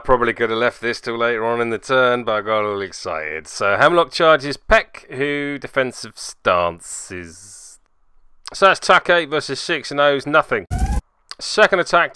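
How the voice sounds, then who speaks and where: A man's voice: medium pitch, sharp tone, clear, resonant tone, no room sound. A man narrating a tabletop game match he plays in, with dry, self-mocking, sarcastic humour. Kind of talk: voice-over game commentary.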